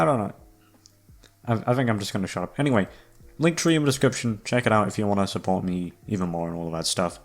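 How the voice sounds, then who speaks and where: A man's voice: fairly low pitch, creaky. A young man rambling casually to his listeners, with sweary, slangy, self-mocking talk. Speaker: YouTube voice actor and creator. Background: background music.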